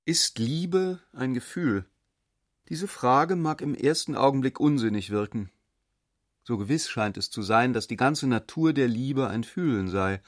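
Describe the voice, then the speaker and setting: A man's voice: medium pitch, no room sound, clean studio sound. A man reading a philosophical diary as scripted prose in a radio play. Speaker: reader in a literary radio play.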